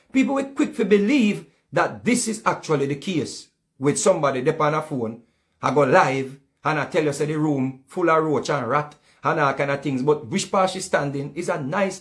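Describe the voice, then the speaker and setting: A man with a Jamaican accent giving casual, heated commentary in patois, often repeating himself. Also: fairly high pitch. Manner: heated, repetitive, speaks in patois.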